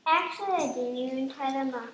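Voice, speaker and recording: A woman's voice: sits high, fairly quick, lightly acted. A woman playing an earnest, matter-of-fact young sister in an amateur radio play. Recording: very echoey room, faint noise.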